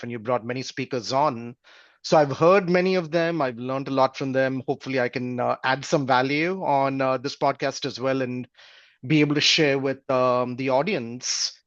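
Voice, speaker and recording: A man in his forties, originally from Malaysia, speaks as a podcast guest in a reflective, unhurried way, often pausing with fillers. The recording is slightly dull and lo-fi, with a dry, close sound. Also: mid-range voice, no echo. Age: 40s. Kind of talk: casual interview talk.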